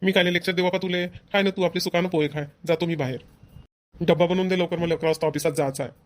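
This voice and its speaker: A man's voice: sits high, steady tone, quick pace, lightly acted. A man playing a family-minded, exasperated young husband in a cartoon, scolding and lecturing with a Vidarbha Marathi accent. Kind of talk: amateur family cartoon voice acting.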